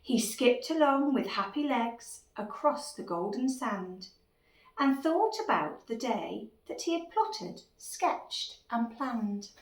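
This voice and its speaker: Slow, expressive woman reading a storybook. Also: a little high. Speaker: story reader. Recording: echoey room, distant microphone.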